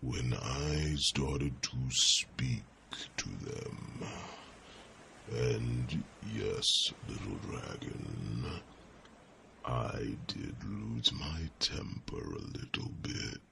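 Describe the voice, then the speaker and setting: A man voicing a huge ancient dragon in an ASMR fantasy roleplay, a calm, gentle mentor with a gravelly rumble and a deliberate, ruminative manner full of thoughtful hums. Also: full character voice. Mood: wistful, reflective.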